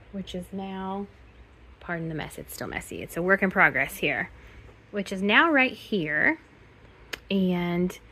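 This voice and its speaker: A middle-aged woman with a raspy, hoarse voice from a cold, chatting casually with lots of ums while showing her house and porch. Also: mid-range voice, fairly bright tone. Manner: chatty, rambling, frequent ums. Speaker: home vlogger. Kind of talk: casual home tour chat.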